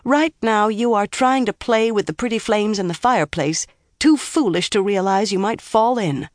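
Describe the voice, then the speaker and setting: A woman's voice: fairly low pitch, very animated, moderate pace, no room sound, studio quality. A woman reading an audiobook, voicing a stern young woman who scolds her friend sharply and firmly like an elder. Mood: stern scolding. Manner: sharp, firm, reproving.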